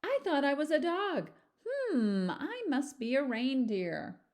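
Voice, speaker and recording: Sweet little female storybook dog, played by a woman, earnest and puzzled, pitched up. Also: sing-song, slow, a little mellow, heavily acted. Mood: puzzled, musing.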